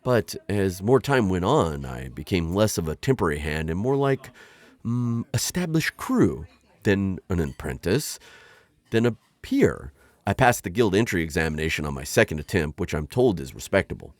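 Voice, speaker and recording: A man's voice: low-pitched, sing-song, normal speed, no echo. A man voicing a formal, awkward insectoid alien bounty hunter in an ASMR roleplay, talking in a hesitant, stammering way. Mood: earnest.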